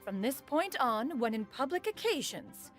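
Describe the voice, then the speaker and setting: A woman's voice: high voice, sing-song, normal speed, faint music behind. A woman voicing a proud, dignified ancient immortal in a fantasy video game, with a formal, haughty, motherly manner and archaic wording.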